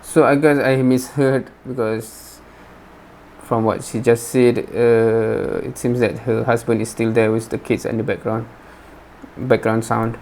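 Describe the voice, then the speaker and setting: Guy doing reaction commentary, normal pitch, stumbles and restarts his sentences, bit of noise behind him. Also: level tone, gravelly, darkish tone. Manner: halting, repeats and rephrases words. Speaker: reaction video host. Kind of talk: unscripted spoken commentary.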